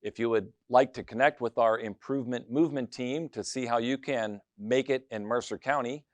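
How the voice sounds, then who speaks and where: A man's voice: mid-range voice, dry recording. A man in his fifties hosting a local business interview show from a college TV studio, reading a scripted intro and then chatting easily with his guest. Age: 50s. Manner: friendly, chatty with quick acknowledgments. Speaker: host. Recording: dry studio sound.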